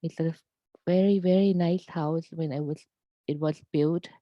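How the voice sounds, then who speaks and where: A woman speaks slowly and earnestly, hunting for words as she presents her plans. Her voice sits low and is recorded dry and close, with the dull, lo-fi sound of a video call. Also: fairly even intonation, no echo. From Thailand. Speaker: meeting presenter.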